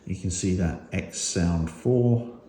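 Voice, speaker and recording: A man's voice: sits low, slow, warm tone. A man giving a casual hands-on product demonstration as a reviewer, unscripted and thinking aloud with some hesitation. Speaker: motorcycle gear reviewer and host. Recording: roomy echo with faint noise.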